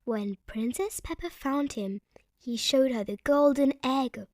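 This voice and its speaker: A woman reading a children's story aloud with expressive, dramatic narration. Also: sits high, dry recording, clean studio sound. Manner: slow, expressive, dramatic delivery. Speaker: story reader.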